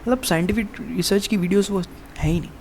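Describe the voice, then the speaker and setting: A young man in his early twenties talks loosely to the camera with a rough, gravelly edge on his voice, sitting a touch higher than most men. He rambles, starts sentences over and keeps calling the listener "bro" as he vents about fitness. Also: fast talker, a little hiss or hum. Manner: rambling, addresses viewer as "bhai" constantly, many restarts. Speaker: fitness vlogger. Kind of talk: casual to-camera monologue.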